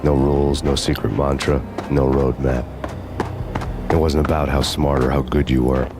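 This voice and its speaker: An actor playing a cynical, hardboiled ex-cop in a game, speaking deadpan with dry sarcastic wit. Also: male voice, rumbling low, moderate pace, background noise. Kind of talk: noir game narration and dialogue. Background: music.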